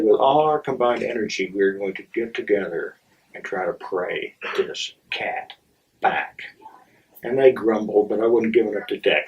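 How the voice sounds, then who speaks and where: A middle-aged or older man telling a personal story in a theatrical, wry way, with dramatic pauses and halting asides. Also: on the low side, darkish tone. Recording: distant microphone.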